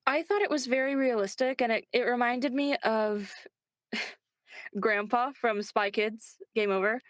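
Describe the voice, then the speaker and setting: A woman's voice: a little high, takes it slowly, dry recording, studio quality. A woman in a casual interview as a voice actor guest, talking in an informal, slangy, joking way. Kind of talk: casual chat.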